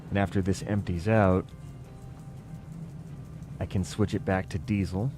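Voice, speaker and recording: A man giving casual, musing commentary while playing a building game, thinking aloud with relaxed asides. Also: deep voice, unhurried, over music. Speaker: gaming video creator.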